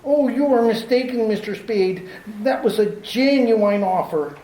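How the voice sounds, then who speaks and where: A woman playing a fussy, overly polite male gentleman crook in a radio play, talking in a prim, formal, hesitant way. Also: on the high side, measured pace, echoey room, recorded from a distance, lightly acted, low-quality recording. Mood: courteous. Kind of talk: amateur 1940s-style radio drama acting.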